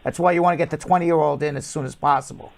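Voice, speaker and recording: A man with a gravelly, fairly high voice hosts a live call-in show. He answers callers in a brisk, blunt, matter-of-fact way. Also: steady tone. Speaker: immigration lawyer hosting a call-in show.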